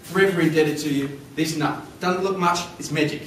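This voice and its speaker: A man voicing a hurried male squirrel who speaks in short, clipped, pleading bursts. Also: on the high side, steady tone, a bit bright, natural voice. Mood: grateful. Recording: echoey room from far off, noisy.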